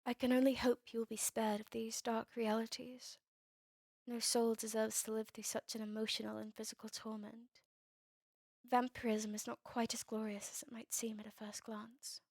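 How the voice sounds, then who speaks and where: A woman playing a melancholy, courteous vampire in an ASMR roleplay drama, with formal, old-fashioned, measured phrasing. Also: a little high, little pitch movement, vocal fry, darkish tone, no room sound, studio quality. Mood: somber, pessimistic. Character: melancholy, courteous female vampire, turned young over a century ago.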